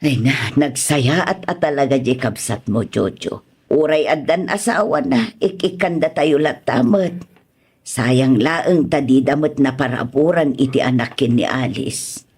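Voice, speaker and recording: Man acting out a husky older mother, radio drama. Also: medium pitch, measured pace, darkish tone. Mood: fond, inquiring. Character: fond, nagging older Filipino mother of grown sons.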